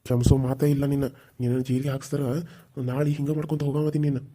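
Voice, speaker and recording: A man's voice: mid-range voice, level tone, very fast, mellow, close to an everyday voice. A man voicing a stern, protective older uncle who scolds and threatens in a North Karnataka accent. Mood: furious. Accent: North Karnataka Kannada.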